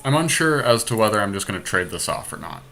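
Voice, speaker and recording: A man's voice: fairly low pitch, very animated, fast, vocal fry, sharp tone. A man giving casual commentary as he plays an online card game, thinking aloud. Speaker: podcast host playing an online card game. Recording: noticeable background noise, amateur screen capture.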